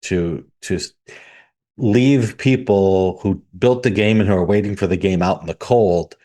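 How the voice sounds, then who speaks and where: Man, podcast co-host, lowish voice, asks the questions. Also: a little slow, dark tone. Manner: steers topics, asks questions. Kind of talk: casual podcast discussion.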